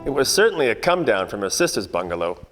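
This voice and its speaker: A man's voice: on the low side, quick pace, music in the background. Man acting a hardboiled 1940s detective in echoey hall. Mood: wry narration. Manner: wry, world-weary, slangy deadpan narration. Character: hardboiled, wisecracking 1940s male private detective, burly and cocky. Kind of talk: 1940s radio noir parody acting. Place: theatre production shot before green screen. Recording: echoey hall, faint noise.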